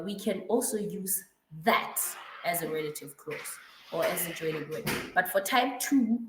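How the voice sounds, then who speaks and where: A woman with a flu-roughened, raspy voice talks slowly and patiently as she walks a student through grammar. She checks in often to make sure the student is following. Her voice sits a little low and bounces around a roomy space. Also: a bit bright. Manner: patient explaining with frequent checks like "right?" and "mm-hmm". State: hoarse with flu. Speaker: English tutor. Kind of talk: one-to-one online grammar lesson.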